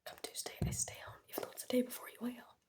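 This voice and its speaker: Whispering teenage girl, chatty and casual. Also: very fast. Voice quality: breathy whisper. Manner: chatty, wry, youthful slang. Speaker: ASMR host showing products. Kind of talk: whispered ASMR, casual rambling storytime.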